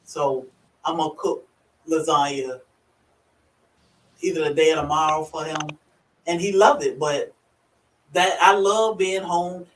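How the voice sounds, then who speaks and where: A woman's voice: low-pitched, unhurried, pauses often. Country virginia lady chatting on a livestream, laughs a lot, kinda far off, echoey room, faint background hum. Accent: rural Virginia. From Virginia, USA. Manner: chatty, laughs often, greets viewers by name. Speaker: livestream host. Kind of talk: casual live chat.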